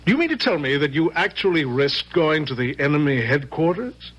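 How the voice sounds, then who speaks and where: An actor playing a grave, probing, thoughtful army general, speaking with measured authority in a radio drama. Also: male voice, fairly high pitch, expressive, fairly bright tone, muffled recording. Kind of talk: 1970s radio drama acting. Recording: noisy vintage radio recording with hum.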